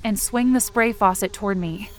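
A woman's voice: medium pitch, moderate pace, natural voice. A woman playing an anxious, injured young camp counselor, narrating a first-person audio drama in a tense, dramatic way. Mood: uneasy, tired. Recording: rain and ambience behind the voice. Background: rain and outdoor ambience effects.

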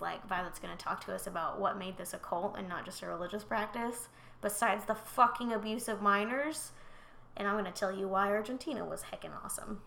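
A young woman co-hosting a casual true-crime podcast, talking bluntly and swearing freely with quick joking asides. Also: medium pitch, creaky, bright, forceful tone, a little hiss or hum. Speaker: podcast co-host.

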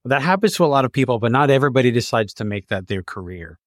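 A man's voice: mid-range voice, sing-song, quick pace, dry recording, clean studio sound. A man hosting an interview, putting questions to guests in a conversational style. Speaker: interview host.

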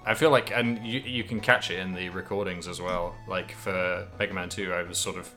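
Casting note: man, low bright voice, loose rambling podcast chat, faint guitar music behind. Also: little pitch movement, brisk. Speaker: podcast co-host. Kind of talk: casual chat about a video game.

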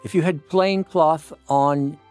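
A man's voice: on the high side, slow pace, a little mellow, smooth, soft background music. An older man with an American accent, talking informally to listeners in an enthusiastic, explanatory way. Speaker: retired priest, storyteller. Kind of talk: informal personal commentary to listeners.

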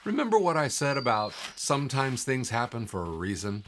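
An actor playing a calm, wise and assured guide in an audio drama, instructive and encouraging in manner. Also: male voice, mid-range voice, brisk, slight background noise. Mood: assured, urging. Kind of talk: scripted audio drama acting.

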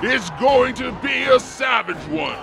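A man playing a rowdy ogre announcer in an audio drama, shouting in a gravelly, drawn-out showman delivery. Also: very high-pitched, expressive, full character voice. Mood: rousing. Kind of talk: audio drama character acting. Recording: music and crowd behind the voice.

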